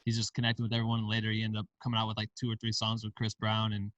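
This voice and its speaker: Iowa guy on a podcast, chill and slangy, kinda low and monotone, dry room, bit far from mic. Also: racing, no room sound, highs cut off. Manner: laid-back storytelling with casual slang. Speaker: podcast guest, film director and founder. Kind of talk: casual interview chat.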